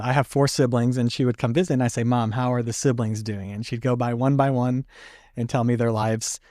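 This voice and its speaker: A man's voice: mid-range voice, warm tone, dry recording, clean studio sound. A young man, a podcast guest, telling his story candidly with many fillers and some laughs. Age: young adult. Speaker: podcast guest, stroke survivor. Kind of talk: casual conversation.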